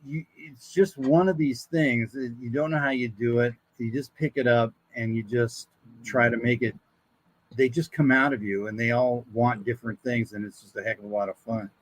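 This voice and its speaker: A man's voice: mid-range voice, steady tone, normal speed, rough recording. A man chatting casually and telling anecdotes as a voice actor guest on a podcast.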